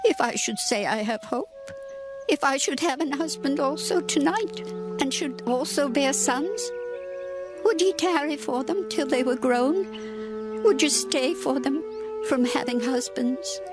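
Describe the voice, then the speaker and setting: A woman's voice: high voice, lively intonation, a little slow. An actress playing a sorrowful, bitter older widowed mother-in-law in a dramatized scripture reading, speaking in earnest, solemn archaic phrases. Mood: pleading, grieving. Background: music.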